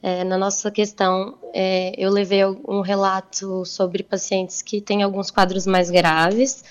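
A woman's voice: mid-range voice, little pitch movement, measured pace, clean tone. A young woman from central Brazil answers interview questions informally. Her mid-range voice comes through a remote connection that sounds dull and lo-fi. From Goiás, central Brazil. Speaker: medical resident, interview guest. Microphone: remote call.

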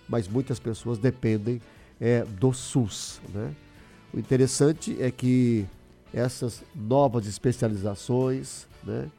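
A male radio host leads a live news interview. His voice is mellow and mid-pitched, with faint music playing underneath. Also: vocal fry.

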